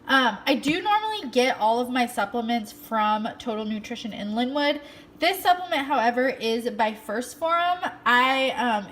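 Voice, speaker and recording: A woman's voice: sits high, pushed and bright, a little hiss or hum. A young American woman chatting casually to her viewers as a fitness vlogger, explaining things in an informal, chatty way. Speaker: fitness vlogger in bikini contest prep. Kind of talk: casual vlog chat to viewers.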